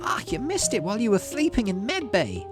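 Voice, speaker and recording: Man putting on a high, bubbly little girl. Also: huge pitch swings, fairly quick, music in the background. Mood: cheerful, carefree. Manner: bubbly, eager, childlike. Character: cheerful sporty young girl colonist, carefree and chatty. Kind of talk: visual novel character voice.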